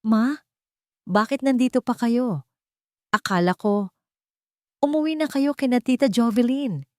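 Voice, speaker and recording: A woman's voice: on the high side, unhurried, a little mellow, no echo, clean studio sound, voice pushed up, close to an everyday voice. A woman playing a defensive, desperate daughter. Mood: irritated, puzzled. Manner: stammering excuses, emotionally pleading. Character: defensive, desperate adult daughter, irritable and pleading.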